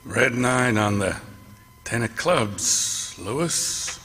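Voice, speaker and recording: A man's voice: mid-range voice, expressive, very slow, sharp tone, faint music behind, full character voice. An actor playing a glum, beaten-down friend of a condemned man, terse and downcast, in 1940s radio drama style. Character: glum, beaten-down male ally of a condemned prisoner. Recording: reverberant old radio broadcast.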